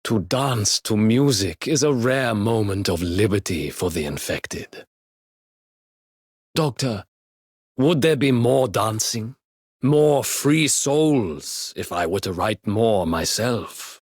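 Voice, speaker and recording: An actor playing a gentle, melancholy, artistic man in a game, with a poetic, soulful manner. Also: lowish voice, lively intonation, takes it slowly, slightly rough, dry recording, clean studio sound, heavily acted. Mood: wistful.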